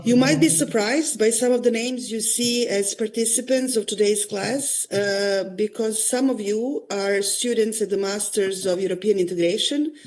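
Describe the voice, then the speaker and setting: A woman's voice: mid-range voice, moderate pace, hardly any pauses, darkish tone. A woman law professor running an online class, polite and hesitant with many fillers, over a video call.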